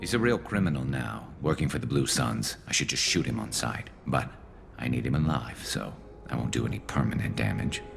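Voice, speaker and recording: A man playing a grim, vengeful alien ex-cop turned vigilante in a video game, with a gravelly voice and terse, menacing delivery. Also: very deep voice, lively intonation, brisk, music in the background, full character voice. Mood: menacing, tense. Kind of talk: video game character acting.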